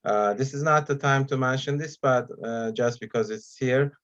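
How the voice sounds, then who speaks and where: A man whose first language is Turkish gives an online talk as guest speaker, pausing often with fillers between phrases. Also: medium pitch, a bit bright, muffled recording. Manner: hesitant, many pauses and fillers.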